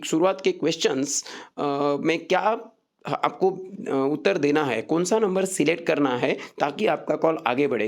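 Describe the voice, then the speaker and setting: A man with a fairly high, gravelly voice presents an informal online explainer. He addresses viewers directly and instructs them step by step, often repeating his points.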